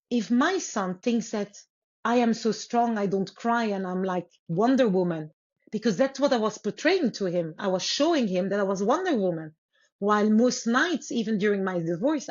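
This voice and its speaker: A woman from Congo whose first language is Lingala speaks as an interview guest, earnest and unhurried, pausing and restarting as she thinks. Her voice sits at an ordinary middle pitch for a woman. The audio is slightly dull and muffled. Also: darkish tone, slightly rough. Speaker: guest author. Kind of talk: reflective interview storytelling.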